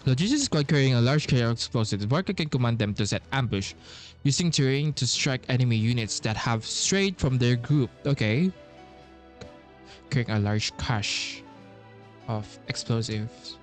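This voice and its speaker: A man's voice: mid-range voice, animated, normal speed. A man streaming a game, reading its text aloud and commenting, stumbling and repeating himself as he goes. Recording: faint game music underneath. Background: faint game music.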